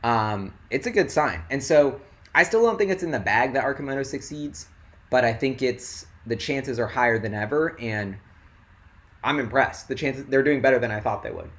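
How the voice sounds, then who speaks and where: Excitable young man, voice going hoarse. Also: medium pitch, normal speed. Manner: rambling and excitable, heavy "like" filler. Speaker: investing livestream host. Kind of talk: casual livestream chat.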